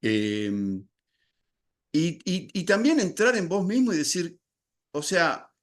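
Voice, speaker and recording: A man's voice: fairly high pitch, wildly swooping pitch, slow pace. An older man from Argentina with an Argentine accent, speaking as a podcast guest. He explains his ideas in a reflective way, using fillers and restarting his sentences. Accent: Argentine Spanish. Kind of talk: podcast interview.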